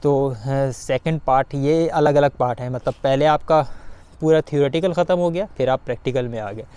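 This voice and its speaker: A man giving an informal explainer to viewers, speaking haltingly with repeated filler phrases. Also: mid-range voice, a little mellow, clean tone. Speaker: video guide host.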